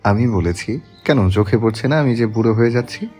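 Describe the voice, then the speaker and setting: A man playing a tender young husband in a romantic audio story, speaking softly and gently. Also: animated, a little fast, husky. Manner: soft, gentle, low-voiced. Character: tender young husband, soft-spoken and teasing. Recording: soft background music.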